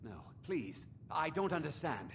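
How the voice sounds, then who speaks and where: An actor playing a nervous, obsequious meadery owner in a fantasy video game. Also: male voice, a little high, big pitch swings, a little slow, vocal fry, a little hiss or hum, heavily acted. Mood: panicked.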